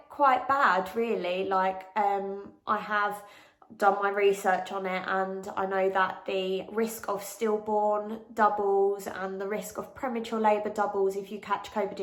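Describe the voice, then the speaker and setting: A woman's voice: medium pitch, fairly bright tone, roomy sound. A pregnant English woman in her late twenties, bunged up with a cold and a bit out of breath, chatting frankly in a Q&A vlog with lots of ums. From England. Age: late 20s. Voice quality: bunged-up, nasal. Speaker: vlogger. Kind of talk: casual pregnancy Q&A.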